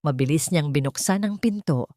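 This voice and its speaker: A woman with a fairly low voice tells a story in scripted, dramatic narration. The sound is dry and close, in the manner of an audio drama. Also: lively intonation, normal speed, mellow, no echo.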